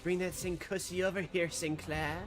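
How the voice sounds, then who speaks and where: A streamer doing a rough impression of a teasing young female yandere game character, coaxing in a slightly raised voice, a little off-mic. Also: mid-range voice, little pitch movement, moderate pace, music in the background, voice pushed up, lightly acted. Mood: sly coaxing.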